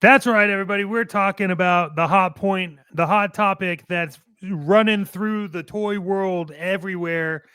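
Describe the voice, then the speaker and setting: A man's voice: high voice, moderate pace, few pauses, pushed and bright, clean tone, no room sound. A man co-hosting a show, giving casual opinions in a blunt, emphatic way with some swearing. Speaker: co-host of a toy collecting show.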